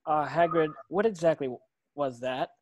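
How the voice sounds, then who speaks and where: A man's voice: a little high, normal speed, no echo, highs cut off, natural voice. Man as a curious boy wizard, dry sound. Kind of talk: table read of a film screenplay.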